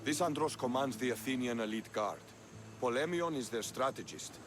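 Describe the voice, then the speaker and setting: A man's voice: mid-range voice, expressive, moderate pace, music in the background, close to an everyday voice. A man voicing a proud Spartan commander in a game cutscene, speaking bluntly and with command. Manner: blunt and commanding, with fierce swings. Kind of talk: video game cutscene acting. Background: orchestral score.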